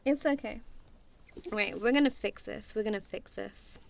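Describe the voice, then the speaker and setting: A woman's voice: medium pitch, normal speed, gravelly. A woman doing a friendly, goofy ASMR roleplay as casual one-sided chat, playful and giggly, with a self-deprecating, apologetic manner.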